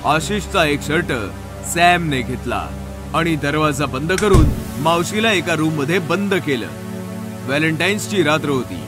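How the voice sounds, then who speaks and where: A man's voice: on the high side, lively intonation, a little slow, over music. A man reading scripted narration as the storyteller of a horror tale.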